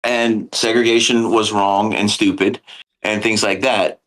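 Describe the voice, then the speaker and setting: A man's voice: lowish voice, little pitch movement, unhurried, a bit bright, low-quality recording. Earnest man explaining history. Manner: earnest and explanatory, with many fillers. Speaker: elementary history teacher. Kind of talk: casual podcast discussion.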